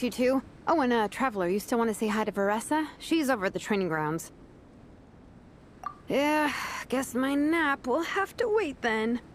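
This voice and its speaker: A woman voicing a laid-back, wry young female vice captain who talks in a relaxed, teasing way. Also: a little high, slight background noise. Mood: easygoing. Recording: game cutscene with background music.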